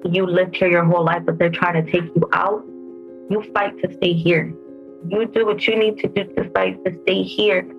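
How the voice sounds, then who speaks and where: A woman in her twenties, from El Salvador and raised in the United States, giving a personal account with halting, emotional speech and repeated words. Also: lowish voice, steady tone, vocal fry, dull audio, soft background music. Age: 20s. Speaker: interviewee. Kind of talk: personal testimony.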